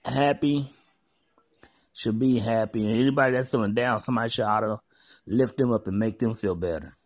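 Earnest man halting over fillers, dry sound. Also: mid-range voice, dry recording. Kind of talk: casual motivational speech.

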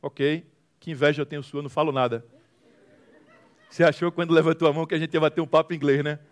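Man, high voice, Brazilian accent, motivational public speaker, dramatic storyteller, emphatic repetition, humorous. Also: fairly even intonation, fast talker. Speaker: guest speaker, social movement founder. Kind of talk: motivational testimony to a church audience.

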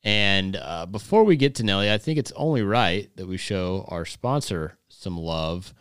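Male co-host, very deep smooth voice, dry studio sound, loose and excitable. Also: measured pace, no room sound. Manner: loose, enthusiastic, slangy. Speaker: podcast co-host. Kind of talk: casual chat. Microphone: studio podcast mic.

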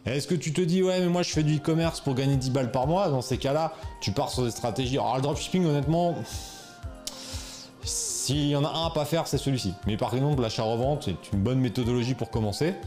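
A man's voice: mid-range voice, a little fast, a little mellow, soft background music. A man coaching viewers on selling online, talking casually with slang, fillers and restarts. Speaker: e-commerce coach. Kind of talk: casual explainer video.

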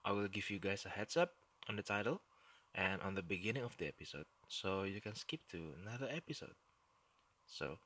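A man's voice: fairly low pitch, fairly bright tone, husky, no room sound. A man with an Indonesian accent, whose first language is Indonesian, hosting a solo history podcast in an earnest, explanatory way. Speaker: podcast host.

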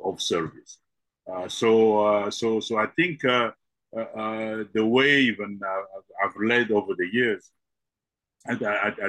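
A middle-aged man from the Congo, a podcast guest answering with many halting fillers and repeated words. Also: lowish voice, pushed and bright, slightly rough, no echo, low-quality recording.

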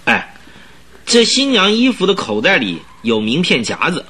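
A man's voice: a little high, slightly rough, heavily acted. A man playing an eager, self-satisfied police detective chief in a radio drama, exclaiming and drawing out his phrase endings. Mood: earnest, insistent. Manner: animated, exclamatory, drawn-out particles. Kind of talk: Chinese radio drama acting.

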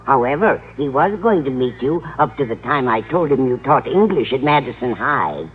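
A woman's voice: very deep voice, big pitch swings, normal speed. An actress playing a dotty, smitten older landlady in a radio sitcom, gushing fondly and stubbornly with dramatic emphasis. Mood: determined, happy. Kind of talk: 1950s radio sitcom acting. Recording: muffled, noisy old radio recording.

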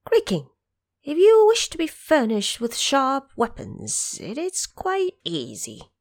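A woman's voice: high voice, huge pitch swings, slow, no echo, clean studio sound, pitched above the performer's own voice, heavily acted. Storybook elderly monkey voiced by a woman, deferential, helpful, raised lilting voice, eager explainer.

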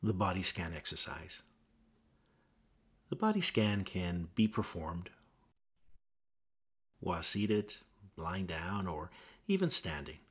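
A man gently leading a guided meditation with measured instructions and pauses. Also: low voice, big pitch swings, slightly rough.

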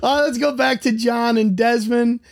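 A man's voice: extremely high pitch, steady tone, normal speed, vocal fry. A man hosting a podcast, steering a casual discussion of a television show. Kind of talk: casual chat about a TV show.

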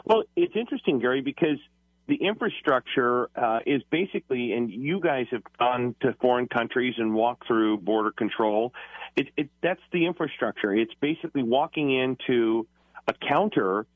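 A male reporter explains the situation live on the radio. His mid-range voice comes through a narrow, phone-like line with no room sound. He speaks haltingly, restating phrases as he goes. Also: mid-range voice, animated, no echo. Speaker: news reporter joining live. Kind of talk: live unscripted report to studio.

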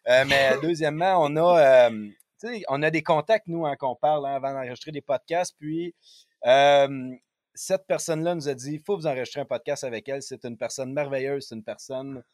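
A man's voice: medium pitch, moderate pace, no echo, clean studio sound. A man with a Quebec French accent hosting a podcast, chatting and interviewing casually in colloquial, slangy language. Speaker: podcast host.

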